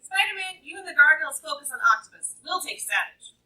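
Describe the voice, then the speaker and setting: Very high, rapid, commanding heroine, actress. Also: female voice, big pitch swings, bright, forceful tone, full character voice. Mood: urgent. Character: brisk, commanding young heroine. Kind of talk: live radio play acting. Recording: echoey distant live recording.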